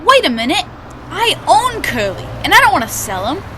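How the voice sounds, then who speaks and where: Woman playing a high, bright, stubborn street boy. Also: sing-song, normal speed, heavily acted. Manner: slangy, artless kid talk. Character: stubborn, proud young street boy with a pet caterpillar. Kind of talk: old-time radio drama acting. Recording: noticeable background noise.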